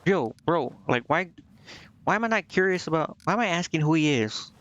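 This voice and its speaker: A man's voice: fairly high pitch, lively intonation, moderate pace, fairly bright tone. A man streaming a video game, reacting in slangy, muttered asides as he plays. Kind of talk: casual game stream commentary, reading dialogue aloud. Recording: muffled, band-limited stream audio with background music. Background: game music.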